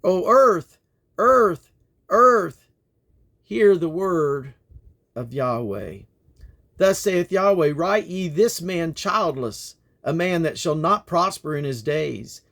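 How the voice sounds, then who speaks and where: A man reading scripture aloud in a solemn, declamatory manner, with measured phrasing and plainly spoken references. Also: a little high, lively intonation, vocal fry, a bit bright. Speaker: Bible reader and host.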